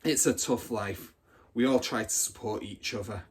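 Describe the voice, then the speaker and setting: A man's voice: on the low side, normal speed, slightly rough. A man voicing a boastful, battle-hardened older schoolgirl in a storybook, telling her exploits with swaggering drama. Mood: defiant, grandiose.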